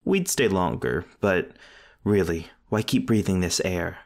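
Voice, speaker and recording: Guy as a jeering demon bully sidekick, slow and swoopy, snobby, audio roleplay. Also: deep voice, expressive. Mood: gleeful jeering. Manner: snide, jeering. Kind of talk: ASMR audio roleplay acting.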